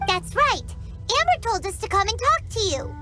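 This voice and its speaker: A woman voicing a tiny, excitable floating fairy companion who talks of herself in the third person, in a childlike, squeaky way. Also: moderate pace. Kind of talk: game cutscene voice acting. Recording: game cutscene with loud music.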